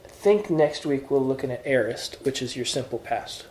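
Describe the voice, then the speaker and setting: Male tutor, patient, step-by-step explainer, warm tone, roomy echoey recording. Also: medium pitch, normal speed, a little hiss or hum. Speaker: Greek tutor. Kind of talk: informal one-on-one lesson.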